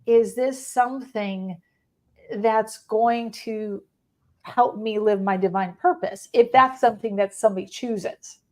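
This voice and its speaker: A woman's voice: on the high side, a little slow. A woman with a Chicago accent hosting a conversational interview, reflective and meandering as she rephrases her questions. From Chicago, United States. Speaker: podcast host.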